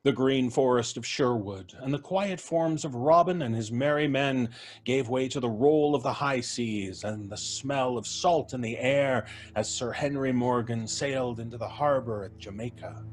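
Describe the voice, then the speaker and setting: A man narrating a classic tale in a measured, vivid way for a live audience show. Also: mid-range voice. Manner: measured, vivid, lingering on sensory details. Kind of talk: dramatic live story narration. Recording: live stage recording with faint music.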